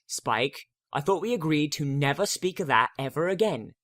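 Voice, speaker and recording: A man's voice: on the high side, animated, normal speed, a bit bright, dry recording, studio quality. A man voicing a young female unicorn who is smug, flippant and defensive.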